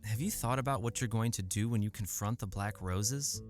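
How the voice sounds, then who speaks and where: A man playing a gentle, conscientious and troubled young farm boy in a fantasy visual novel. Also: fairly low pitch, normal speed, faint music behind, lightly acted. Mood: troubled, hesitant.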